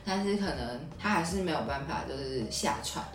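Woman, Taiwanese Mandarin accent, deep for a female voice, casual podcast chat, roomy slightly distant sound. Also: animated, a little fast, a little hiss or hum. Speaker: podcast co-host. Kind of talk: casual conversational recap and commentary. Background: music.

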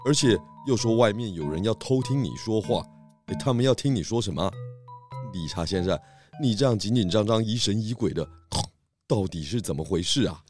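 Guy doing a calm pig detective for a kids mystery podcast, kinda low voice, asks questions and gives advice. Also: fairly quick, faint music behind, close to an everyday voice. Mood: calm, inquiring. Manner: questioning, steady, advising. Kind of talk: children's detective audio story acting.

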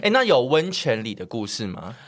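A man's voice: mid-range voice, sing-song, fairly quick, fairly bright tone. A man with a Taiwanese accent co-hosting a podcast in casual, joking banter. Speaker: podcast co-host.

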